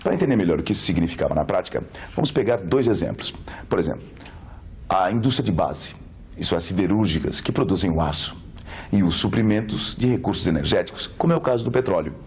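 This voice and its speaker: A man's voice: deep voice, animated, fast talker. A man with a Brazilian accent giving scripted educational narration. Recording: music bed under the voice.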